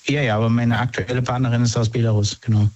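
Slightly muffled lo-fi audio of a man with a mellow, fairly monotone voice. He gives casual interview answers, hesitating and rewording as he searches for the right expression. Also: mid-range voice, quick pace. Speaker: interview guest.

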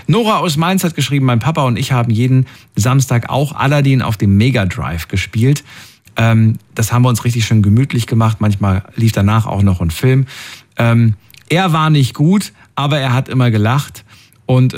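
A man's voice: on the low side, darkish tone. A middle-aged man hosting a call-in show, chatty and asking callers follow-up questions. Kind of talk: casual call-in moderation.

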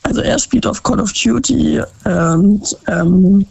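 A woman calls in from Baden-Württemberg, her voice hoarse and raspy from a cold, with heavy fry. She speaks high for her voice and often gropes for words. The phone line sounds dry and close. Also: slow pace, darkish tone, dry recording. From Baden-Württemberg, Germany. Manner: hesitant, searching for words. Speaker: caller. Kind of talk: casual phone-in chat.

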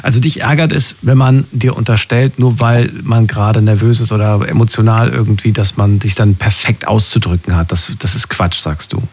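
A man's voice: lowish voice, fairly even intonation, moderate pace, hardly any pauses, a little mellow, husky. A man hosting a late-night radio call-in show from a studio, reflecting back what is said and asking questions. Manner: reflects callers' points back, asks questions. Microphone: studio microphone.

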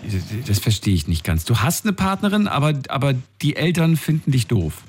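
A man's voice: mid-range voice, wildly swooping pitch, brisk. A man hosting an evening radio call-in show, asking callers friendly, probing questions. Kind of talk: casual call-in radio talk.

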